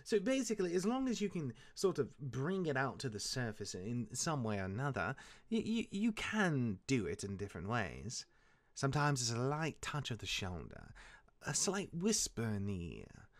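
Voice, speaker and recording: An actor playing a cocky, jaded demigod of misfortune mentoring his younger brother in a fantasy drama, smooth-talking and wry, with dramatic turns. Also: mid-range voice. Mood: animated, explaining. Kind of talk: character acting in fantasy audio drama.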